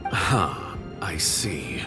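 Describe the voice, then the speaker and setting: A man's voice: extremely low pitch, expressive, extremely slow, music in the background, heavily acted. An actor playing a stern, suspicious male conspirator in an anime-style video game, formal, wary and exacting. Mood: baffled, critical.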